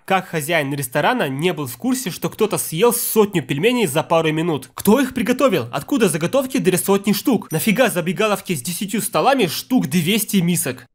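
Sarcastic male commentator, higher-pitched voice. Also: lively intonation, fairly quick, few pauses, faint music behind. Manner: nitpicking, sarcastic. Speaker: video narrator listing film mistakes. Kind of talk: scripted comedic commentary.

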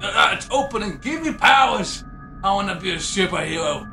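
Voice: medium pitch, expressive, a little fast, bright, forceful tone, reverberant, soft background music. An amateur dubber improvising a comedy fan dub in a rambling, silly way.

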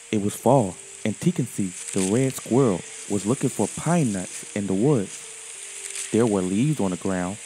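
A man hosting and narrating a children's story in a deliberate, expressive manner. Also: fairly low pitch, breathy edge. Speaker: storyteller, host.

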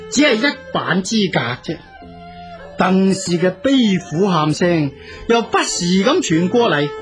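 A woman narrating a radio drama as a storyteller, with Hong Kong Cantonese speech. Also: mid-range voice. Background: music.

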